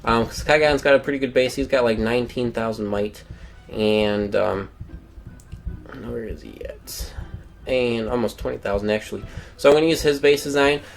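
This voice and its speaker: Young male let's-player, mid-pitched, rambling casual commentary, lots of "um"s. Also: steady tone, normal speed, gravelly, faint music behind. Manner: rambling, many "um" fillers. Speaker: gameplay commentator.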